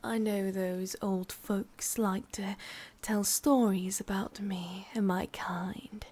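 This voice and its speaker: A woman voicing a sadistic, possessive forest witch in an ASMR roleplay, with an English accent, half-whispering and teasing in drawn-out phrases. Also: mid-range voice, fairly even intonation, darkish tone, slight background noise, lightly acted. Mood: sly, gloating.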